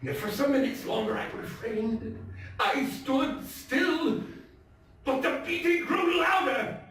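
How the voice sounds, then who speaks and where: A man performing a nervous, obsessive madman in a theatrical gothic horror reading, with intense, halting delivery. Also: very high-pitched, expressive, voice pushed up, full character voice. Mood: mounting frenzy. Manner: intense, halting, swinging from hushed whispers to shouts. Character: nervous, obsessive madman confessing a murder, gloating and fearful. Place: live stage performance in a theatre. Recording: echoey theatre from far off, faint noise.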